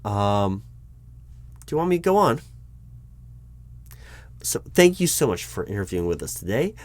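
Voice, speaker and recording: A man doing a roleplay as a job interviewer, talking in a deliberate, dry way with frequent ums. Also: lowish voice, sing-song. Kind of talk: scripted ASMR roleplay.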